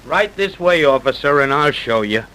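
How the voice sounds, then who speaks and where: A man's voice: on the high side, animated, normal speed, pushed and bright. A man acting a squeamish, reluctant groundskeeper in a vintage radio drama, complaining in wry, colloquial speech. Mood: nervous. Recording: noisy vintage radio recording.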